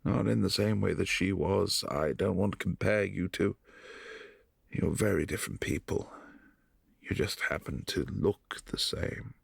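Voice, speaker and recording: A man voicing a brooding, lonely vampire prince in an ASMR roleplay, speaking softly and intimately in halting phrases, close to the mic. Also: low-pitched, creaky, slightly rough, dry recording, exaggerated voice. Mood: wistful. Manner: soft-spoken, intimate, halting.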